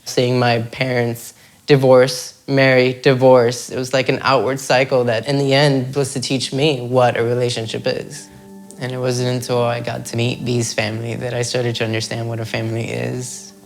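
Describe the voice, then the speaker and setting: Earnest, even-toned young man. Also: medium pitch, moderate pace, vocal fry. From South Florida, United States. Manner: reflective, earnest. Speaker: interviewee. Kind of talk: documentary interview. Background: soft music score.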